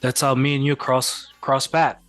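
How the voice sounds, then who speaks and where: Bright-voiced man hosting. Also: medium pitch, level tone, moderate pace, faint music behind. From France. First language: French. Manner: encouraging, thoughtful questioner. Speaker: podcast host. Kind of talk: conversational interview.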